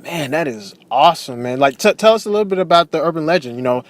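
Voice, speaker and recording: Upbeat male interview host, slightly high. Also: lively intonation, fast, no room sound. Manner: upbeat, filler-heavy, lots of "man" and "you know". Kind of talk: casual interview.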